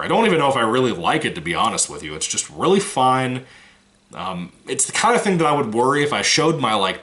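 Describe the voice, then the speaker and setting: Rambling man with a lively, bright voice. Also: lowish voice. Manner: rambling, frank, swears casually. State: voice still recovering from a cold. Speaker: movie reviewer. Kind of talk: casual spoken review.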